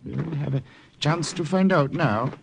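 An actor playing a wily middle-aged village priest in a 1940s radio drama, with a calm, persuasive manner. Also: male voice, a little high, unhurried, gravelly. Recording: old radio broadcast with faint hiss.

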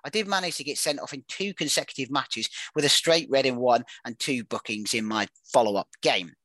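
A man hosting a football quiz, reading career clues in a brisk, matter-of-fact way with dry asides. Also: mid-range voice, a bit bright, no room sound. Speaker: quiz host.

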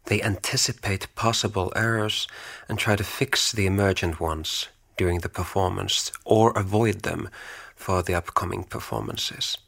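A man reading a scripted, poetic introduction in a measured way, dropping some phrases to a whisper. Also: low voice, gravelly, fairly bright tone, husky.

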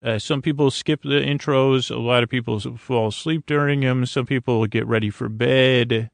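A man with a creaky voice hosting a sleep podcast, talking in a soothing, hesitant, meandering bedtime monologue full of stammered tangents. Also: mid-range voice, steady tone, normal speed, no room sound. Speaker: podcast host.

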